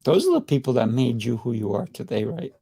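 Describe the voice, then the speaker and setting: Gravelly, mellow-voiced podcast host. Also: male voice, mid-range voice, lively intonation, quick pace. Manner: enthusiastic, repeats himself, frequent "right?". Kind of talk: casual interview.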